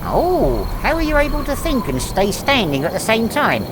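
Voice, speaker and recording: A man's voice: very high voice, wildly swooping pitch, normal speed, background noise, full character voice. A man playing a cheeky talking wooden dummy with a British accent and a mocking, sarcastic manner.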